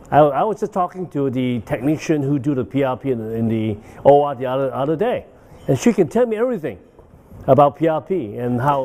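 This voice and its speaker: Mellow-voiced older man lecturing. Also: medium pitch. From Hong Kong. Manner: rambling, with frequent "uh" and "okay?" tags. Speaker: guest lecturer. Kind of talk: medical conference lecture. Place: banquet hall.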